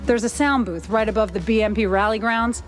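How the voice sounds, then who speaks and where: Lady doing a sassy jaded noir news gal, voice on the high side, bit of music. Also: a little fast, close to an everyday voice. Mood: businesslike. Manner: wry, world-weary. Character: sassy, jaded 1930s noir newspaperwoman mouse. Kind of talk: 1930s noir cartoon acting.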